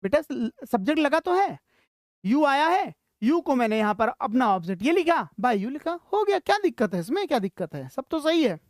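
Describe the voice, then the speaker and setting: A high-pitched teacher leads a live lesson for school students. The delivery is instructional, posing questions, answering them and repeating phrases for emphasis. The sound is dry, with no room echo. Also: very animated, normal speed.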